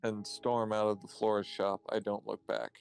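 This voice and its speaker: A man reading visual novel narration aloud, halting at times and correcting his slips. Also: fairly low pitch, flat delivery, creaky.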